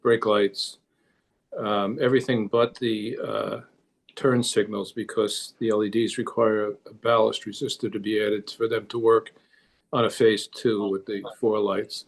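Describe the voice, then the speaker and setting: A man's voice: fairly low pitch, level tone, a little slow, creaky. A man, a club member on a conference call, casually explaining technical details and offering advice.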